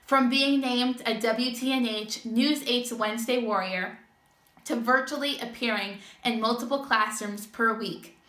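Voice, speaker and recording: A woman's voice: fairly high pitch, sharp tone. A seventeen-year-old American woman giving earnest prepared remarks about her youth program, recorded indoors at home. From Connecticut, United States. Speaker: youth reading program presenter. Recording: roomy home video sound, faint music.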